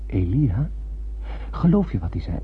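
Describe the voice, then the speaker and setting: An actor playing an earnest, reflective spacefarer who narrates a radio drama in a lyrical way. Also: male voice, fairly low pitch, sing-song, unhurried. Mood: curious, friendly. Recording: tinny old radio recording, faint hum.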